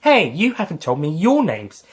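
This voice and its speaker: A man voicing an eccentric, genial time-traveling alien in an audio story, chirpy and lively. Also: fairly high pitch, moderate pace, husky, pitched above the performer's own voice, exaggerated voice.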